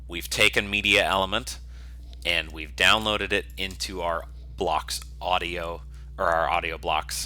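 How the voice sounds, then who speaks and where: Deep, bright-voiced man teaching code. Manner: explanatory, step by step, informal. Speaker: software instructor, developer. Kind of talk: screencast coding tutorial, semi-improvised walkthrough.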